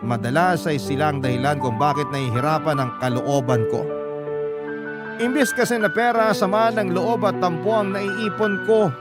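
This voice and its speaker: A man's voice: a little high, moderate pace. A man giving earnest, scripted first-person storytelling narration for a radio drama. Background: prominent background music.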